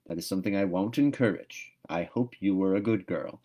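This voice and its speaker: A stern older woman in a storybook, sharp and curt, acted out by a man with a lowish voice. She is a no-nonsense guardian who scolds plainly. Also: fairly quick, muffled recording, close to an everyday voice. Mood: stern, scolding.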